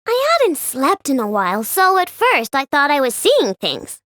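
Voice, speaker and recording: A woman voicing an excitable, chatty young female inventor who builds robots. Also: high-pitched, a little slow, no echo, clean studio sound, full character voice. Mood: bubbly. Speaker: game character voice. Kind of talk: recorded game voice lines.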